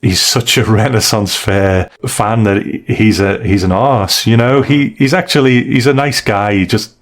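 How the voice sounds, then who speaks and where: A man's voice: fairly low pitch, measured pace. A man co-hosting a podcast, chatting casually and conversationally as he reviews stories, with frequent asides. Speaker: podcast co-host.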